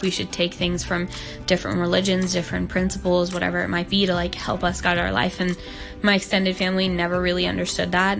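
A woman's voice: fairly low pitch, gravelly, fairly bright tone. A nineteen-year-old woman from Hong Kong, a podcast guest answering questions in an earnest, thoughtful way, with many "like" fillers. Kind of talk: casual interview answers.

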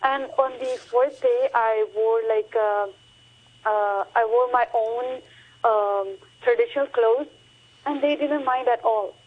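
Young woman on a muffled phone line, higher voice, chatty and earnest. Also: slow pace. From Hong Kong. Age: young adult. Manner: chatty, earnest, many fillers and restarts. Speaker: guest, recent graduate. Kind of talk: radio interview.

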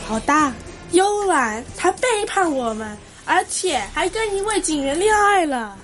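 A brisk police colleague in a school radio play, acted by a female performer with a very high, youthful pitch. Music plays clearly behind the amateur acting. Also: very animated, exaggerated voice. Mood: urgent.

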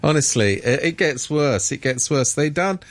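A man's voice: fairly high pitch, lively intonation, moderate pace. A man talking as a regular guest on a radio show, indignant and sardonic, often restarting his sentences. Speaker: regular commentator. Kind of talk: radio studio discussion.

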